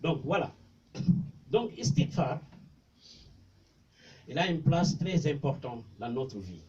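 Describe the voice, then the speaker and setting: A man's voice: a little high, sing-song, takes it slowly, warm tone. Senegalese man preaching from a distance. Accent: Senegalese French. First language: Mandinka. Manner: conversational and repetitive, recites Arabic verses. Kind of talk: informal religious talk. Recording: distant, dull lo-fi with faint noise.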